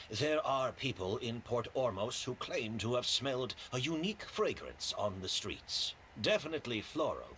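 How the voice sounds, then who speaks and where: A man's voice: medium pitch, pushed and bright, a little hiss or hum. An actor playing a courteous, apologetic male hotel host in a video game, with a polite, explanatory way of talking.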